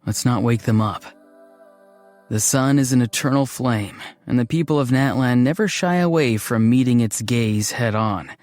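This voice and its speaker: A gentle, dreamy young man from a fantasy game, performed by a male actor, speaks in a mid-pitched voice. He muses in a deadpan, slightly hesitant and awkward way. The sound is close and dry, with no room around it. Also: normal speed, no room sound, soft background music, close to an everyday voice. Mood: musing, deadpan. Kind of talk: scripted game character voice lines.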